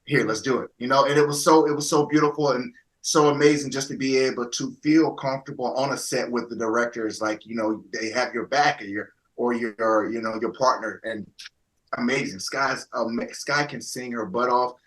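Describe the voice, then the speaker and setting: A man's voice: medium pitch, fairly even intonation, moderate pace, poor audio. A man, an actor, talking enthusiastically and rambling with lots of fillers in a casual interview over a video call.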